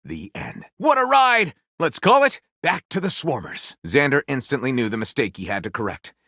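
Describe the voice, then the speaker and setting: A man voicing a flamboyant, excitable, pompous author character for a video game, narrating in a grandiose, melodramatic storytelling style. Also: mid-range voice, moderate pace, no room sound, clean studio sound, full character voice. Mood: theatrical, wry. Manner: grandiose, melodramatic narrator delivery with gushing exclamations. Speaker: voice actor playing a game character.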